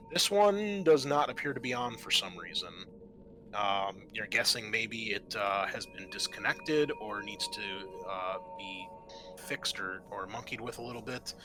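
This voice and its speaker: A man narrating an improvised tabletop game as game master, halting and often pausing mid-sentence. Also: mid-range voice, pushed and bright.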